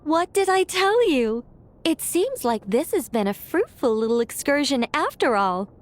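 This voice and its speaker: A woman playing a whimsical young witch in a video game, self-assured and breezy, musing in a gently theatrical way. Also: high voice, sing-song, measured pace. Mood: pleased, playful.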